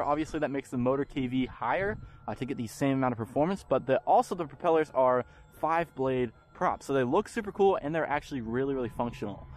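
Young male hobby vlogger, mid-range voice, easygoing chatter, recorded outdoors with light background noise. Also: medium pitch. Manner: relaxed, chatty, frequent ums. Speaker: RC hobby channel host. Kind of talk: casual commentary while flying. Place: open park field outdoors.

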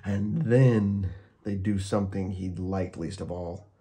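A man with a low, mellow voice narrates a children's storybook. He reads expressively and leans on key words for dramatic effect. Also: steady tone, slow pace, slight background noise. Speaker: storybook reader.

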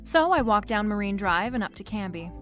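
A woman's voice: medium pitch, animated, brisk, fairly bright tone, faint music behind, close to an everyday voice. A woman playing a wisecracking, flirtatious private eye, narrating a noir radio drama in a wry, playful way.

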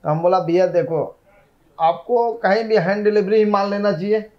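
Middle-aged man, high voice, dull lo-fi. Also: steady tone, moderate pace. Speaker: shop owner interviewed. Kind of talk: casual interview. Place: indoors in an office.

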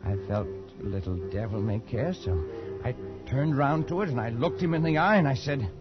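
Man acting a haunted, feverish Englishman, wildly swinging pitch. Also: expressive, moderate pace, over loud music, close to an everyday voice. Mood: brooding, reckless. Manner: agitated, feverish, swinging from brooding to frantic. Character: tormented Englishman haunted by a curse, near madness. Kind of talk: 1940s radio drama acting. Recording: muffled old radio recording.

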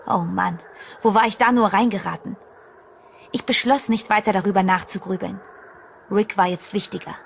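A woman's voice: mid-range voice, fairly even intonation, normal speed, gravelly, long pauses, a little hiss or hum, lightly acted. A woman playing a frightened heroine who narrates a horror radio play in the first person. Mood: uneasy, resolved. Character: frightened heroine recounting her haunted escape.

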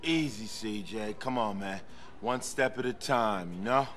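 An actor playing a burned-out rapper in recovery, speaking slowly and hesitantly. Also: male voice, fairly low pitch, fairly bright tone, faint music behind. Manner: slow hesitant mumbling.

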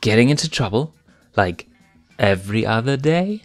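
A man's voice: medium pitch, animated, soft background music, voice pushed up, lightly acted. A man voicing a playful young lioness cub girl with a teasing, bouncy manner in a fanfiction reading. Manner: teasing, bouncy, quick to tease.

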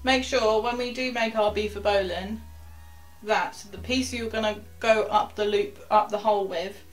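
A young woman, a sailing instructor, walking through knot-tying step by step in a chatty way, with quiet asides as she works the rope, recorded close to a camera in a small wooden cabin. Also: medium pitch, fairly even intonation, moderate pace, soft background music, low-quality recording.

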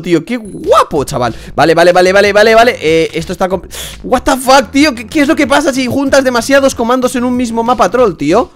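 Young man, high-pitched, excitable, shouty, gaming chat. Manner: excitable, swears and shouts often. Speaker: gaming channel host. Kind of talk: casual let's play chat.

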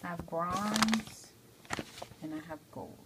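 Low, gravelly-voiced woman, casual tutorial. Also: slow pace. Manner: informal, checks in often with "okay". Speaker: amateur how-to video maker. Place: home kitchen, indoors at the stove. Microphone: handheld phone behind the camera. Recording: noisy handheld home recording, clicks.

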